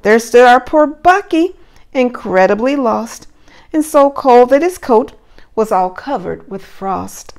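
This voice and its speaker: A woman preschool teacher doing an animated read-aloud for young children, with simple encouraging questions. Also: fairly high pitch, takes it slowly.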